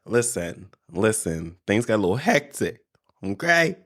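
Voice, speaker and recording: A man's voice: fairly low pitch, sing-song, unhurried, fairly bright tone. A man hosting a casual solo podcast, congested from allergies and a little nasal, chatting directly to his listeners. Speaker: podcast host.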